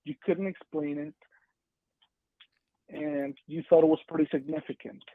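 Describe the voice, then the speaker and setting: Over a dry, phone-like call line comes a man with a foreign accent and a slightly high voice. He is a caller, chatting casually and putting his question politely and with care. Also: flat delivery, measured pace, no room sound. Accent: foreign, non-native English. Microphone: remote call. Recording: thin band-limited phone-like call line.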